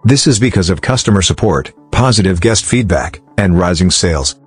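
Deep-voiced male explainer narrator. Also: expressive, normal speed, husky. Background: background music.

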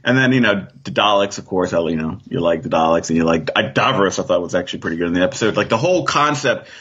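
A man's voice: deep voice, animated, quick pace, a bit bright, husky. A man chatting casually as a podcast guest, rambling and thinking aloud as he goes. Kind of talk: casual chat. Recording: dull, muffled lo-fi sound.

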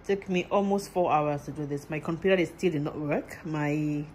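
A woman from Nigeria with a slightly low voice chats at home, recorded on a hand-held phone with faint room noise behind her. She talks through what she cooked in loose, repetitive phrases and laughs easily. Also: normal speed, darkish tone. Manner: chatty, laughs often, simple repetitive phrasing. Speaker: vlogger and mother. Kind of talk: casual home vlog chat. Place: home kitchen.

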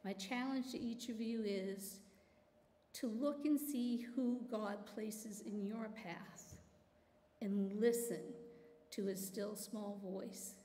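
A woman's voice: mid-range voice, fairly even intonation, slow, warm tone. Earnest middle-aged woman in echoey church. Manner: earnest, emotional, fighting back tears. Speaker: church member giving testimony. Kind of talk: personal testimony to a congregation. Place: large church sanctuary. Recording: echoey hall with long reverb.

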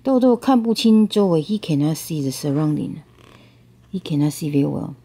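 A woman with a soft-edged, very mellow voice reads a storybook to a child. Her pitch is low for a woman. She switches between reading and plain bilingual explanation, often asking small questions to check understanding. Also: animated, normal speed, husky. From Taiwan. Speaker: parent reading a picture book to child.

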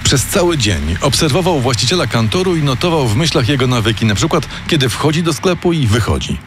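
A man reading a scripted true-crime story in a measured, dramatic storytelling manner. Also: medium pitch, expressive, noisy background. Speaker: narrator. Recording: music playing underneath.

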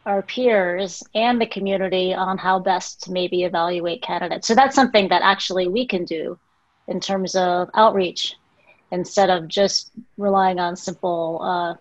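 A woman's voice: medium pitch, dry recording. A middle-aged woman, a journal editor, speaking earnestly and reflectively on a panel over a video call. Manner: earnest, reflective, trailing into quiet asides. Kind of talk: panel discussion. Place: small home office. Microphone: video call with earbuds. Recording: dry, muffled video call audio.

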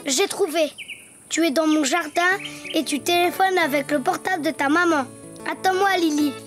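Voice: extremely high pitch, moderate pace, a bit bright, faint music behind. A performer voicing a cheerful, curious little donkey boy in a preschool cartoon, with simple, wondering childlike phrasing. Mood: eager.

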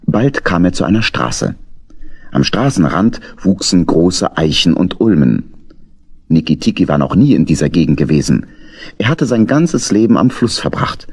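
A man's voice: low-pitched, big pitch swings, normal speed, darkish tone, breathy edge. A man narrating a children's audio play as a scripted storyteller.

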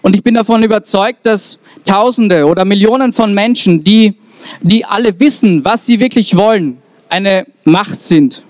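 A young man with a fairly high voice speaks earnestly at an outdoor rally, pausing on fillers. He holds a handheld mic. The sound is dry and close. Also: no echo. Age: young adult. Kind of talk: public speech. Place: town square outdoors.

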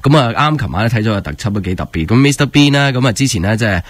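A man's voice: on the low side, lively intonation, racing, dry recording. A man with a Hong Kong Cantonese accent chatting casually as a radio host, in a conversational, loosely phrased way. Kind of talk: casual radio chat, rambling explanation. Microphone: close studio microphone.

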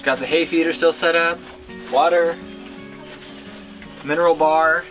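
A man's voice: a little high, steady tone, unhurried, fairly bright tone, faint music behind, poor audio. A man in his thirties chatting casually on his rural homestead, easygoing and joking. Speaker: homesteading vlogger. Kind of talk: casual outdoor chat. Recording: outdoor camera audio with clear background noise.

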